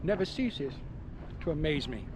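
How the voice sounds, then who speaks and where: A middle-aged man, born in England softened by speech, chatting casually to camera as a hiking vlogger in a resort cafeteria. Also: fairly high pitch, big pitch swings, moderate pace, heavy background noise. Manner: chatty, rambling, with chuckles and restarts. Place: mountain resort cafeteria, indoors.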